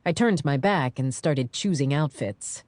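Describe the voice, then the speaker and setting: A woman narrating a dramatized audiobook in the first person, with wry, expressive storytelling. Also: sits low, measured pace, dry recording.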